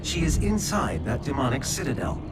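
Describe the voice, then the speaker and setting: An actor voicing a composed, formal artificial intelligence in a video game, giving precise, matter-of-fact briefings. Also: male voice, medium pitch, huge pitch swings, moderate pace, heavy background noise. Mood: calm, informative. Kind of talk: scripted game mission briefing. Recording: distant voice under loud music.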